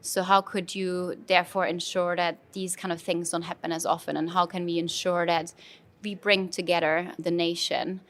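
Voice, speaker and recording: German-accented woman, low flat voice. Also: normal speed. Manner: thoughtful, with frequent fillers and restarts. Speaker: brand manager, interview guest. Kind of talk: conversational interview. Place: conference venue.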